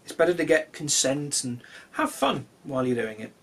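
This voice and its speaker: A man in his thirties reading children's stories expressively, with deliberate dramatic pauses, recorded in a living room. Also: medium pitch, big pitch swings, a bit bright, breathy edge. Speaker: children's storyteller. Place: small cluttered living room, indoors.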